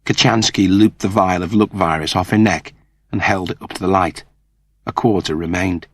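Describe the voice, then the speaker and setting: A man reading a story as an audiobook narrator, in an expressive scripted style. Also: sits low, moderate pace, no echo.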